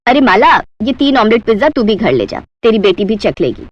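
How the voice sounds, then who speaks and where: A woman's voice: a little high, sing-song, a little fast, dull audio. A woman voicing a bossy, stingy rich housewife with a curt manner in a Hindi cartoon story. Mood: pleased, instructing.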